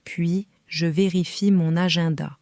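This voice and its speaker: Slow calm woman reading for learners. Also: fairly low pitch, no room sound, clean studio sound. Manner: calm, careful, clearly spaced phrases. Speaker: French reader for learners. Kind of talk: slow scripted reading for beginners.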